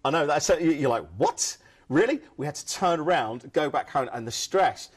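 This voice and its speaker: A man around forty, talking fast and enthusiastically as he pitches cruise deals on a live shopping show. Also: lively intonation, slightly rough. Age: 40s. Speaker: cruise sales co-presenter.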